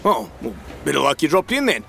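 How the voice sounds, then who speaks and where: A matey Australian businessman in an audio drama. His voice is fairly high, bright and lively, tumbling out casual slang with cheeky ease, over faint background noise. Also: fairly quick, vocal fry, heavily acted. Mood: breezy, matey. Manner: breezy, informal, slangy.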